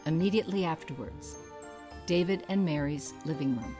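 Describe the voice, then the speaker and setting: A woman's voice: deep voice, moderate pace, over music. A woman reading out stage directions and scene headings as scripted narration for a play reading.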